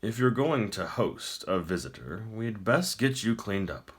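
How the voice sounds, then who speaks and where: A man voicing a falsely friendly, taunting dungeon jailer in a fantasy audiobook, with a warm, mockingly courteous delivery. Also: lowish voice, very animated, unhurried, slightly rough, full character voice.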